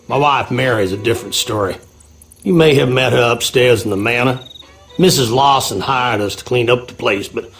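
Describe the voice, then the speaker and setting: An actor playing a folksy, skeptical handyman in a video game, with a gravelly Southern US drawl. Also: mid-range voice, fairly bright tone. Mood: dismissive.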